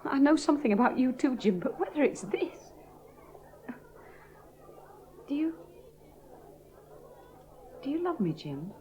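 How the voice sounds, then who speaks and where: A lonely, earnest young pilot. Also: female voice, high-pitched, sing-song, normal speed, muffled recording, a little hiss or hum. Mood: tender. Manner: earnest and emotional, soft and hushed in tender moments. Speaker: aviator. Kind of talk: 1970s radio drama acting.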